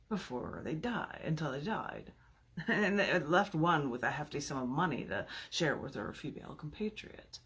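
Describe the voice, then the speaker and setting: A woman's voice: extremely low pitch, a bit bright, husky. A woman reading her own poetry aloud in an informal, theatrical and emphatic way, with playful, chatty asides.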